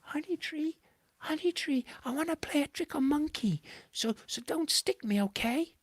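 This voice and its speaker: A storyteller voicing a sly male trickster spider who coaxes and sweet-talks in an eager, stammering, squeaky voice. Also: very animated, fairly quick, a bit bright, no echo, heavily acted. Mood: whispering.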